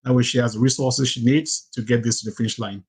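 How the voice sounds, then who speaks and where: A man from Cameroon speaks over a video call in a husky voice at an ordinary pitch. He is earnest and plain, restarting phrases as he urges listeners on. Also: fairly even intonation, fast talker. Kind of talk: encouraging speech on a video call.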